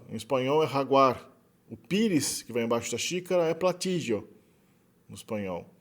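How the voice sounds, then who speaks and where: Brazilian man giving a relaxed lecture. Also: mid-range voice. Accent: Brazilian Portuguese. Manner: relaxed, frequent tag questions, self-correcting. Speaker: linguistics lecturer. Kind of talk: explanatory lecture.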